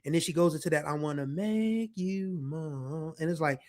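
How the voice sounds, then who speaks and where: A man in his forties speaks at a rather high pitch for a man. He hosts his own show, talking in a relaxed, meandering way with plenty of fillers. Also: normal speed. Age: 40s. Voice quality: deeper voice. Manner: relaxed, rambling, with frequent fillers. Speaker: podcast host. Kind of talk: casual nostalgic monologue.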